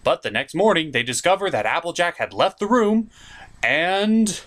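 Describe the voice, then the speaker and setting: A man's voice: a little high, big pitch swings, moderate pace, background noise. A young man hosting a comedic cartoon review in a theatrical, jokey, opinionated way.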